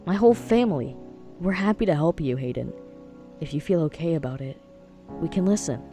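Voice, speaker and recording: A man's voice: fairly high pitch, animated, fairly quick, warm tone, soft background music. A man playing an earnest, supportive young friend in an audio drama, speaking with emphatic, reassuring phrasing. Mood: gentle reassurance.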